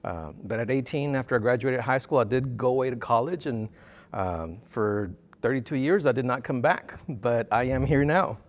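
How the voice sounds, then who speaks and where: A man's voice: medium pitch, normal speed. A middle-aged man from South Texas answering interview questions in a TV studio, thoughtful and full of "uh" and "um" fillers. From South Texas border region. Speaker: interview guest, dean of students. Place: TV news studio set. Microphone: lapel mic.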